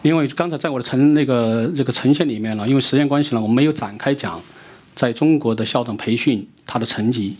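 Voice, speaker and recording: A man speaks quickly in a lecture room, his voice slightly husky. He answers in short bursts and stops so an interpreter can follow. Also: mid-range voice, a little mellow. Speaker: visiting education expert answering questions. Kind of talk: answering a question through an interpreter.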